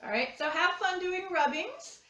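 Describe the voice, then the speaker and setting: Lady teaching a kids craft, kinda high voice, explains it simply, echoey and a bit far from mic. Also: big pitch swings, normal speed, sharp tone. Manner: simple, step-by-step explaining with repeated phrases. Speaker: art teacher presenting a children's craft lesson. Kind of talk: instructional demonstration for children. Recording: echoey room, distant microphone.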